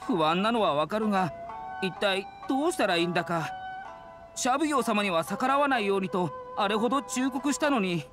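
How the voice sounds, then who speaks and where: An actor playing a worried, weary household servant in an anime game, fretful and grumbling. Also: male voice, sits high, animated, a little fast. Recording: music behind the voice.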